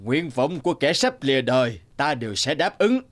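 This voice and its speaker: A man as a stern, animated old cartoon judge. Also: mid-range voice, moderate pace, lightly acted. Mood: solemn. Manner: commanding, indignant. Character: stern, hot-tempered old male judge. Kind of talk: animated fairy-tale dubbing.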